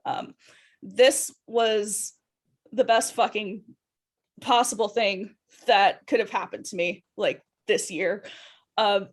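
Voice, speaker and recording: A woman speaking casually as a guest on a livestream, with informal, sweary phrasing. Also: on the high side. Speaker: guest streamer. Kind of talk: casual sign-off chat on a livestream.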